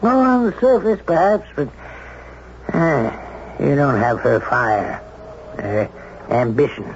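An actor playing a brash, domineering old gentleman who needles and pries in a 1970s radio drama. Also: mid-range voice, lively intonation, slow pace. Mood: wry, nostalgic. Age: older. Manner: blunt, needling, insistent. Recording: muffled, noisy 1970s radio recording.

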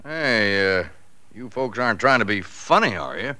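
A wary policeman in an old radio drama. His male voice is hard-edged and very bright, swinging in pitch, with a terse, disbelieving manner. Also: animated, normal speed. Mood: suspicious. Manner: terse, doubting. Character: suspicious, wary second policeman. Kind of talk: 1940s radio drama acting.

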